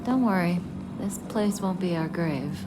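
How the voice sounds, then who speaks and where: A woman's voice: lowish voice, a little slow, background noise, close to an everyday voice. A woman voice-acting a poised, teasing space-faring thief in a video game, languid, wry and confident. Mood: amused, curious. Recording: loud music behind the voice. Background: loud game soundtrack music.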